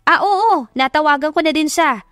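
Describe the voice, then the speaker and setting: A woman voicing a friendly, brisk older businesswoman in an audio drama, chatty and practical. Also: sits high, fairly bright tone, no echo, clean studio sound, faint music behind, pitched above the performer's own voice. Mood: friendly, businesslike. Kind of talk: Tagalog audio drama acting.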